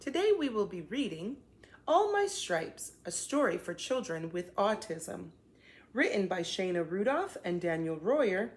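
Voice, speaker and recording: A woman presenting and reading a children's book aloud in an expressive, deliberate way. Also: medium pitch. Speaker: children's book reader and teacher. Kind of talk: read-aloud storytelling for children.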